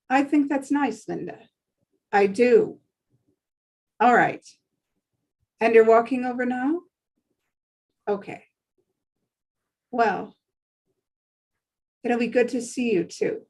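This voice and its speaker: A woman playing a polite, reserved, reassuring professional woman at a table read, measured and supportive. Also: fairly high pitch, a little mellow. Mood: calm, supportive.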